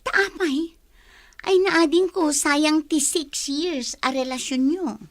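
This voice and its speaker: Excitable high-pitched Filipino sister. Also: female voice, takes it slowly. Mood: excited. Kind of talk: Philippine radio drama acting.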